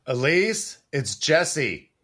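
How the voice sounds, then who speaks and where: A man playing a suave, teasing gentleman protector in a period audio drama, courtly and wry with old-fashioned phrasing. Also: a little high, big pitch swings, very slow, full character voice. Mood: courteous. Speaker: actor.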